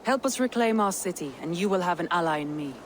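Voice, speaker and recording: A woman's voice: fairly low pitch, lively intonation, fast talker, a little hiss or hum, lightly acted. A woman playing a proud, resolute Viking war leader in a video game, speaking in an earnest, stately, commanding way. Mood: warm, solemn. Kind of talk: dramatic video game acting.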